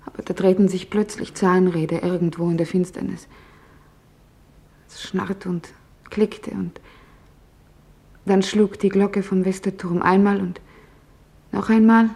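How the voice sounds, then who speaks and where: An actress playing an office worker recalling wartime nights, in deliberate, hushed storytelling with dramatic pauses. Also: female voice, medium pitch, flat delivery, gravelly. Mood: tense, haunted. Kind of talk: dramatised testimony in a radio feature.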